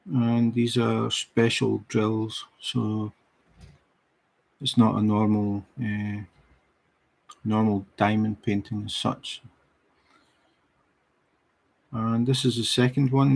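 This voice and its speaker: Scottish guy, middle-aged, low husky voice, chats slow and easy while crafting. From Scotland. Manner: relaxed, unhurried, chatty with viewers. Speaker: diamond painting livestream host. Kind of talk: casual livestream chat while crafting.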